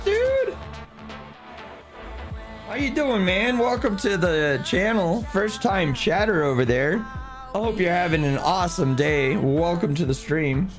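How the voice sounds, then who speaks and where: Hoarse guy on a game stream, kinda high voice, greeting chat, music playing. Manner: chatty, greets viewers by name. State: hoarse, losing his voice. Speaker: streamer playing a driving sim. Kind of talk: casual live-stream chat.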